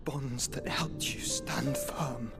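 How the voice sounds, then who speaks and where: A man playing a gentle, dying younger brother in a game cutscene, halting and earnest, with a weak breathy voice that often drops to a whisper. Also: medium pitch, over loud music. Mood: earnest, fading. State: weak, strained, fading. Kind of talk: scripted game cutscene acting.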